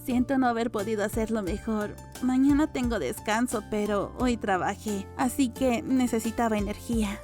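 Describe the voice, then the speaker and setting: A woman's voice: fairly high pitch, moderate pace, hardly any pauses, a little mellow, close to an everyday voice. A woman voicing a serious, matter-of-fact young apothecary woman in an audiobook, earnest, blunt and deferential. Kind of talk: audiobook character dialogue. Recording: music under the voice.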